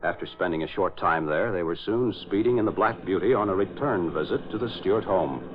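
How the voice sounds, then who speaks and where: A man gives solemn, scripted narration in a deep voice. Music plays behind him, and the sound is a tinny vintage radio broadcast. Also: brisk, natural voice. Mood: dramatic. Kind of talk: 1940s-style radio narration.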